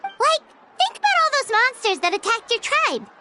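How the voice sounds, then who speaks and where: A woman voicing a tiny, childlike fairy companion in a video game, squeaky, bubbly and chatty in a perky manner. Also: sing-song, normal speed, loud music behind the voice. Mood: puzzled. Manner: perky, talks of herself in third person. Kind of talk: video game character acting.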